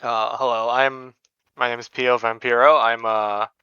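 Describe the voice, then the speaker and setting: A young man from Indonesia chatting casually as an interview guest, hesitant and modest, with a clear, smooth voice. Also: mid-range voice, level tone, measured pace, sharp tone, no room sound, lo-fi sound. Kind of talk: casual chat.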